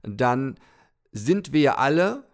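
A man voicing a calm, kindly male God in a podcast story, gently explaining things. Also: mid-range voice, drawn-out words, voice pushed up, exaggerated voice.

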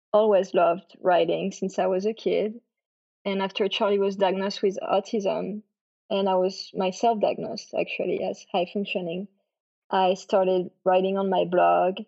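A woman in her thirties from France with a French accent, answering interview questions in a relaxed way with many fillers. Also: medium pitch, creaky, lo-fi sound. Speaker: guest author.

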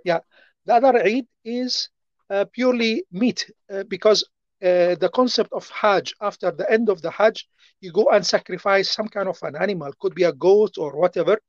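High-voiced man with an Arabic accent. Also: no echo, lo-fi sound. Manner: halting, many fillers and restarts. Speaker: interview guest. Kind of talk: casual interview answers.

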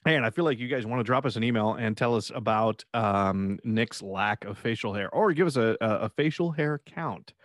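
A man's voice: on the low side, normal speed, hardly any pauses, no room sound, clean studio sound. A man in his thirties co-hosting a podcast, rambling and teasing in casual banter with light swearing. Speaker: podcast co-host.

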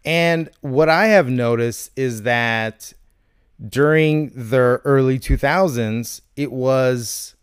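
Clear-voiced man in his thirties, debating casually. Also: medium pitch, no room sound, studio quality. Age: 30s. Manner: animated, profane, questioning.

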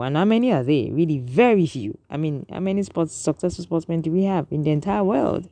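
A woman's voice: sits low, warm tone, no room sound. A Nigerian woman giving an earnest, lecturing opinion monologue to an audience, often restarting her sentences.

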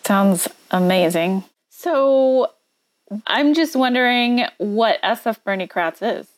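A woman's voice: on the high side, animated, slow, no room sound. A woman co-hosting a podcast, asking short friendly questions in a casual interview. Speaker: podcast co-host.

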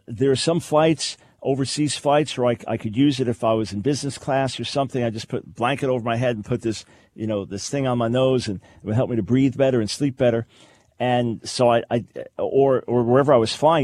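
An American male talk radio host with a mid-pitched voice, recorded close and dry. He speaks off the cuff in a conversational way, putting questions to the listener and voicing little examples as he explains. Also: darkish tone, no room sound. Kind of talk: unscripted talk radio commentary.

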